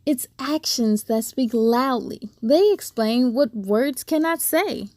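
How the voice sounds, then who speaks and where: A woman hosting a children's read-aloud, speaking in an expressive, deliberate, sing-song way to young listeners. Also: fairly high pitch, dry recording, studio quality. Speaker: host of a read-aloud story series. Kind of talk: expressive children's storybook reading.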